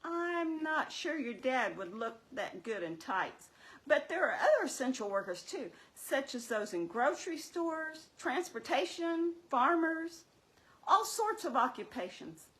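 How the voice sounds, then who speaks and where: Woman as sensible, teasing church-show puppet: high pitched, swooping intonation, explains and chides. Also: sing-song, unhurried, fairly bright tone, exaggerated voice, poor audio. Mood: explaining. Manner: explanatory, chiding, wry. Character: Mary, sensible, teasing, scolding female puppet.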